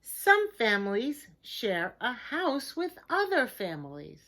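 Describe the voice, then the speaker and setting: Slow, very expressive woman reading to children. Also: a little high. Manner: slow, expressive, simple deliberate phrasing. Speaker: teacher reading a picture book aloud to children. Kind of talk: children's storybook read-aloud with chatty asides.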